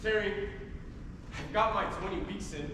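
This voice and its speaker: A man's voice: high-pitched, moderate pace, lightly acted. A young man, a student actor, playing an evasive, absent-minded jazz musician husband and father on stage, defensive and dismissive. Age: young adult. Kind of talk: theatrical stage acting. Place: large indoor theatre stage. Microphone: distant recorder. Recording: echoey noisy auditorium from far off.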